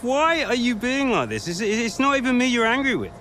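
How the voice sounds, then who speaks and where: A man's voice: very high voice, sing-song, brisk, bright, forceful tone, slight background noise, full character voice. An actor playing a cocky, evasive young male racing driver in a video game, glib and sarcastic, in a naturalistic dramatic style. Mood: exasperated. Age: young adult.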